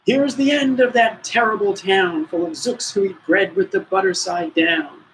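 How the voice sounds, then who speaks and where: A man's voice: high voice, normal speed, a bit bright, slight background noise, pitched above the performer's own voice, rough recording. A man voicing a fierce, zealous old grandfather warrior who declaims defiantly in a storybook reading.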